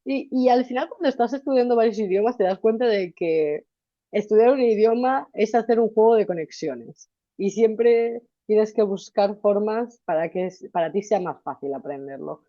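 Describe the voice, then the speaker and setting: A woman's voice: medium pitch, moderate pace, dry recording, muffled recording. A young woman from Spain with a Valencian Spanish accent, chatting animatedly as an interview guest. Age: young adult. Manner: animated, chatty, restarts often. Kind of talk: casual interview conversation.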